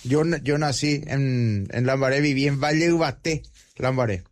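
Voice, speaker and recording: A man's voice: medium pitch, normal speed. A man with a Paraguayan accent giving conversational football reports, repeating and rephrasing as he goes.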